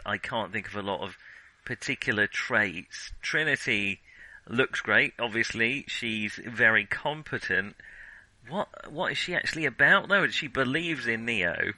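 A man's voice: medium pitch, sharp tone, dry recording, rough recording. A man co-hosting a podcast, talking casually about films in a hesitant, digressive way as he thinks aloud. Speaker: podcast co-host. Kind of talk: casual film discussion.

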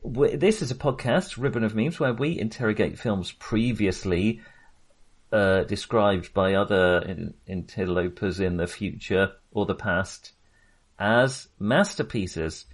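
A man with a slightly low voice hosts a casual film podcast. He talks in a rambling, hesitant way, circling back on his phrases with a wry touch. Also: unhurried, breathy edge. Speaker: podcast host.

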